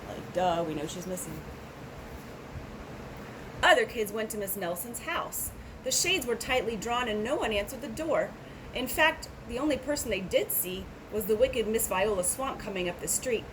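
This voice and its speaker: Woman reading aloud, noisy recording. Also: fairly high pitch. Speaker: storybook reader. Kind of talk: children's read-aloud with casual asides.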